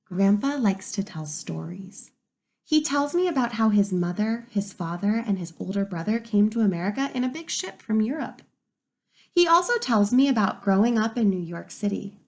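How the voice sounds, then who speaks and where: A woman reading a picture book aloud to young children like a teacher, expressive and pausing to ask wondering questions. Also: medium pitch, gravelly. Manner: expressive, pauses to pose questions to young listeners.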